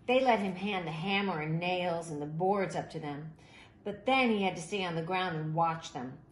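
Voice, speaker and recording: A woman reading a children's picture book aloud in an expressive, animated way, with deliberate emphasis. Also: on the low side. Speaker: storybook reader. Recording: roomy echo, faint background noise.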